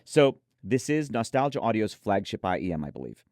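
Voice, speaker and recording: Guy with a deepish voice doing a chatty gear rundown, keen, really dry recording. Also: sing-song, fairly quick, a little mellow, no echo. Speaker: host presenting an audio gear preview. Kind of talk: conversational product review reading specs.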